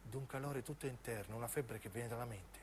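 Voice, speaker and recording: A man narrates as a stage actor, in intense, deliberate phrases of dramatic radio storytelling. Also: mid-range voice, background noise. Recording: piano music under the voice. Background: piano and keyboard music.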